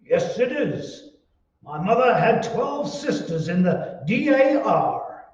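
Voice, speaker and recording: A man's voice: sits high, sing-song, slow pace, darkish tone, heavily acted. An actor playing a pompous, contrary, easily indignant neighbor man in old-time radio comedy style. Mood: indignant, stubborn. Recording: echoey room, distant mic, dull sound.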